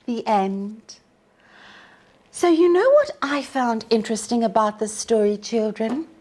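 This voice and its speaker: A woman reading a storybook aloud for young children in a deliberate, expressive way, as a storytime host. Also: fairly high pitch.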